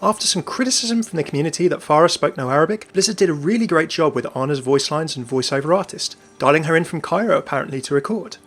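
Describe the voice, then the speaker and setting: Fast-talking man narrating over music. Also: on the high side, fairly bright tone. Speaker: video narrator. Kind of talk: scripted narration of game lore.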